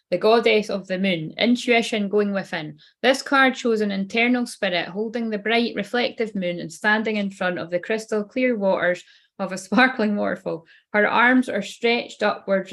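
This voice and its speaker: A woman's voice: medium pitch, fairly bright tone. A woman giving a casual tarot card reading. She rambles loosely and swears offhandedly.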